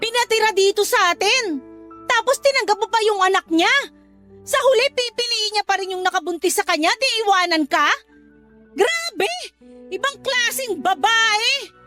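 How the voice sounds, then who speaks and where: A sharp-tongued, very high-voiced younger sister. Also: female voice, expressive, moderate pace, full character voice. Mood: scolding. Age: young adult. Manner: blunt, scolding, then tender and reassuring. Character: outspoken loyal younger sister, young woman. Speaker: younger sister and letter sender. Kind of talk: radio drama acting and letter narration. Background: soft music under dialogue, louder music under narration.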